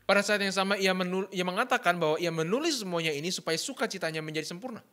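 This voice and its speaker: A man interpreting a sermon live, phrase by phrase, rushing through each line. His slightly high, bright voice stumbles now and then, with fillers and self-corrections as he keeps up. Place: church.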